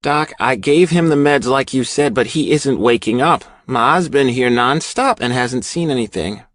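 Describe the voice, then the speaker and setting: Brash young biker woman, played by a man, scolding, animated delivery, dry audiobook sound. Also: mid-range voice, normal speed, dry recording, voice pushed up, natural voice. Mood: worried, exasperated. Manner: barking, scolding. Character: brash, angry young woman, foul-mouthed biker sister. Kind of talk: audiobook character acting.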